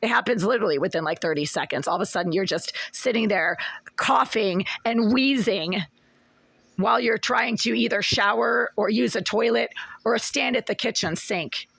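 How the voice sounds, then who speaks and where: Hoarse, gravelly-voiced aggrieved woman. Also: medium pitch, moderate pace, fairly bright tone, no echo. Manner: aggrieved, long detailed complaints, repeats herself. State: hoarse, strained voice. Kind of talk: complaint video filmed at home. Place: apartment kitchen, indoors.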